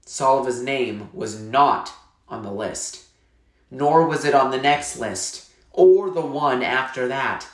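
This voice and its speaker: A man's voice: mid-range voice, slow pace. A man narrating a story in a scripted read-aloud style. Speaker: reader reading a novel aloud. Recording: echoey room.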